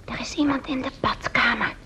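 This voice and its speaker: A woman's voice: high voice, fairly even intonation, a little fast, close to an everyday voice. A woman playing a detective's anxious, inquisitive wife in a Dutch radio play. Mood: frightened. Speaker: radio drama actress. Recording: muffled, noisy old radio recording.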